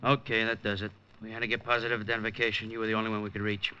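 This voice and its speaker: An actor playing a blunt, jaded police lieutenant in a 1950s radio drama, speaking in a clipped, matter-of-fact way. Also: male voice, lowish voice, flat delivery, very fast, sharp tone, slight background noise. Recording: dull lo-fi 1950s radio broadcast.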